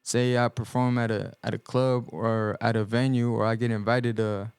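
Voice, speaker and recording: A young man born in El Salvador and raised in the US Midwest, a guest musician giving earnest, halting answers on a podcast, full of ums and restarts. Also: medium pitch, flat delivery, a little mellow, smooth, no room sound, clean studio sound. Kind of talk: reflective podcast interview answers. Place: podcast studio.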